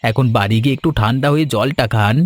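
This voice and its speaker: A man voicing a friendly village meat seller in an animated story, respectful and consoling. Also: mid-range voice, fast, a little hiss or hum, natural voice. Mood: sympathetic. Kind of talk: Bengali animated story dialogue.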